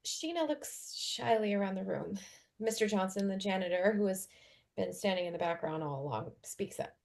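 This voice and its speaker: A woman's voice: medium pitch, moderate pace, creaky, darkish tone. A woman reading script stage directions as understated narration.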